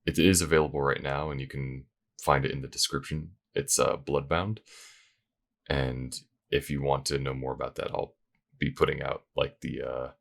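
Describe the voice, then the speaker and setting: A man's voice: rumbling low, steady tone, normal speed, vocal fry. A man giving a casual update to his listeners in an informal, rambling, self-effacing way. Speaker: voice actor and audio creator.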